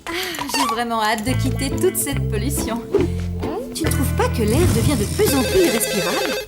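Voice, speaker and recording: Cartoon mother: high voice, airy and practical, loud music behind. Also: expressive, moderate pace. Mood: eager. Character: airy, matter-of-fact mother of a cartoon schoolboy.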